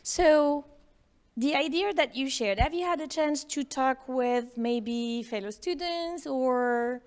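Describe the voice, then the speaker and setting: A woman with a slightly high voice speaks to a room of students at a live workshop. She coaxes and prods them, firing questions straight at the audience to draw out their ideas. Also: slow pace, smooth. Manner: coaxing, questions the audience directly.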